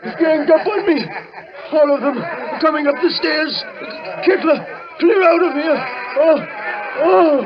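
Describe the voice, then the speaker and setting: A man's voice: very high-pitched, animated, measured pace. An actor playing a terrified man in an old radio thriller, crying out in panic. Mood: panicked. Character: frantic, terrified man at an asylum. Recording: muffled old radio, crowd and music behind.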